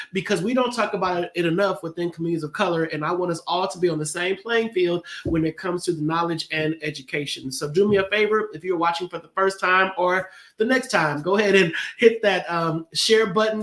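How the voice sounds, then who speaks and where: A man's voice: sits high, fairly bright tone, reverberant. A man in his fifties hosting a show, sounding congested, with an upbeat, chatty and informal manner. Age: 50s. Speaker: host. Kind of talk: casual show opening.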